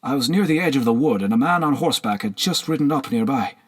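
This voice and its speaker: A man narrating a historical novel as an audiobook in a measured, solemn storytelling manner. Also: medium pitch, animated, slightly rough.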